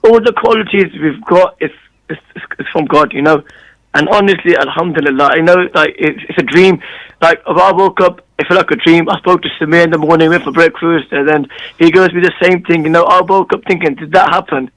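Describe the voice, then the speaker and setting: A man calling into a radio show, hoarse from losing his voice, speaking earnestly with many fillers. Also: on the high side, a little fast, dry recording, low-quality recording. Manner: earnest, excited and grateful, repeating fillers. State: hoarse, lost voice. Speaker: caller.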